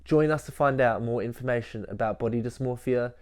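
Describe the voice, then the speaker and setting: A young man reading scripted documentary narration in an earnest, measured way. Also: medium pitch, a little mellow, smooth.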